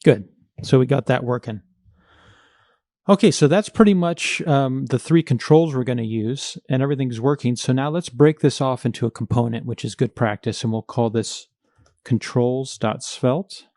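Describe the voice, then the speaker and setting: A man's voice: mid-range voice, normal speed, a little mellow, no room sound, studio quality. A man narrating a step-by-step coding tutorial, informally thinking aloud as he works. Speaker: programming tutorial instructor.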